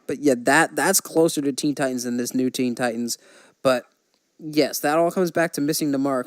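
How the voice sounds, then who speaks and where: A man's voice: medium pitch, dry recording. A man hosting a podcast, giving his opinions in a casual, conversational way. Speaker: podcast host. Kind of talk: casual chat.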